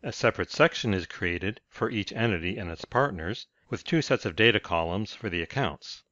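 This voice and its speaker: Low-voiced man narrating a tutorial. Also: animated, normal speed, pushed and bright, no room sound, lo-fi sound. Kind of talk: scripted software tutorial narration.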